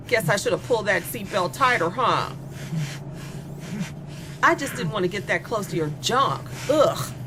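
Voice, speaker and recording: A woman playing a spoiled, bored young female killer in a horror audio drama, confessing with sardonic, casually menacing glee. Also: medium pitch. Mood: menacing, taunting.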